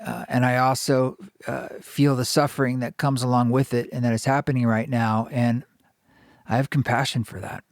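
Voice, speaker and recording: A man hosting a podcast, speaking thoughtfully with pauses and fillers as he reflects and introduces a guest. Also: mid-range voice, flat delivery, gravelly, no echo, studio quality. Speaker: podcast host. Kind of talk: reflective podcast monologue and interview.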